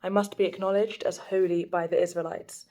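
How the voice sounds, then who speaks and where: A young woman reading scripture aloud at a steady, even pace for her daily Bible video, speaking into a phone. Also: fairly low pitch, a little fast.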